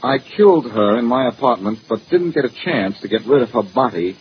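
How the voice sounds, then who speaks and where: Guy doing a smug teasing radio detective, swingy lively delivery, dull old 40s recording with some hiss. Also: mid-range voice, fairly quick, natural voice. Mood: needling, triumphant. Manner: glib, sarcastic, teasing. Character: cocky, wisecracking amateur detective man, needling a police inspector. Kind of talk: 1940s radio detective acting. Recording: muffled, hissy old-time radio recording.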